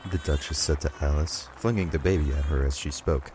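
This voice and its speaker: A man's voice: extremely low pitch, a little fast, darkish tone, a little hiss or hum. A man reading scripted audiobook narration of a children's story.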